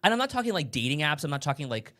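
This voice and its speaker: A man co-hosting a morning TV show, chatting playfully and reading out viewer comments. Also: on the high side. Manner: lively and playful, quick to react. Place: TV studio.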